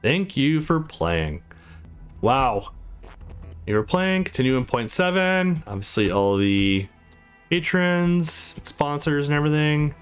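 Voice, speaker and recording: Man, let's play host, visual novel narration, casual commentary, expressive, extremely varied intonation. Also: fairly high pitch, takes it slowly, clear, resonant tone, soft background music.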